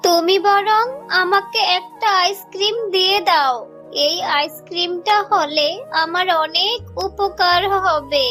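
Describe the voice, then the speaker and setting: Performer as a very high, bright cartoon mother fox. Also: slow, exaggerated voice. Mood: pleading, sorrowful. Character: poor, honest, tender mother fox. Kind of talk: children's cartoon dubbing. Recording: faint music under the voice.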